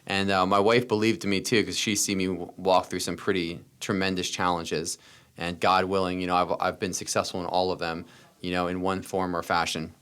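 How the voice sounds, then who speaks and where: A man's voice: sits low, flat delivery, brisk, a bit bright, clean tone. An American man telling personal stories as a podcast interview guest, earnest and repeating phrases for emphasis. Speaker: interview guest, startup founder.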